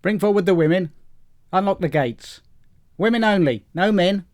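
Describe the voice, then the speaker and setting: A man's voice: sits high, moderate pace, natural voice. A man playing a stern ship steward in an audio drama, barking curt, commanding orders.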